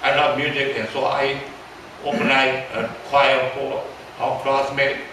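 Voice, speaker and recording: An older man in his seventies speaks with a Taiwanese accent, preaching in a halting, repetitive way and turning to his listeners with questions. His voice sits at a middle pitch and is picked up from a distance in a very echoey church hall, with clear noise underneath. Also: flat delivery. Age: 70s. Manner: halting, repeats words, asks the audience questions. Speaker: pastor. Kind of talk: storytelling sermon.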